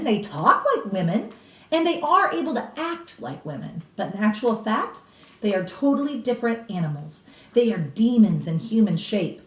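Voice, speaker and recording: A woman's voice: medium pitch, natural voice. A woman reading a storybook as a grave, deadly serious elderly grandmother who stretches key words, in a roomy, distant recording. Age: older. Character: grave elderly grandmother solemnly warning about witches. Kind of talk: dramatic children's storybook reading. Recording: roomy echo, distant mic.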